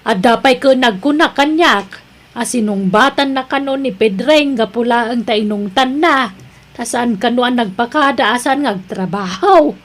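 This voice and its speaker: A woman's voice: a little high, normal speed, natural voice. A woman with an Ilocano Filipino accent playing a concerned, disapproving wife in an audio drama, speaking in a plaintive, reproachful way.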